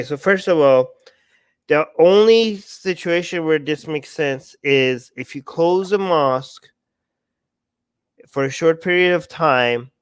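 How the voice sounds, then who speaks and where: Blunt man arguing, smooth clear voice. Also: medium pitch, no room sound. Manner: blunt, argumentative, quotes others in mocking voices. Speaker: co-host. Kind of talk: opinionated political talk.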